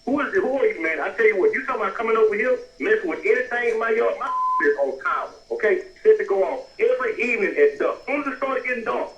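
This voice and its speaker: An indignant man with a high voice, talking fast. He argues combatively and emphatically over a muffled, echoey phone line. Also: expressive, fairly bright tone, distant mic. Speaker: prank call recipient. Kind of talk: heated phone argument.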